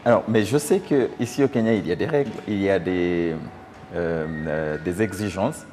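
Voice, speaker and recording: A man's voice: deep voice, moderate pace, mellow. A man, interviewing conversationally and hesitantly, repeating words as he forms questions. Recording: noisy, echoing hall sound with long reverb.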